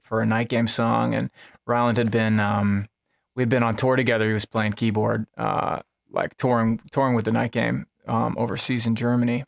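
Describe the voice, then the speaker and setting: A man who is a musician, casually and haltingly telling how a song was made, in a rambling conversational way. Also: medium pitch, gravelly. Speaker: musician, singer-songwriter. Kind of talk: casual storytelling about making a song. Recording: music playing behind the voice.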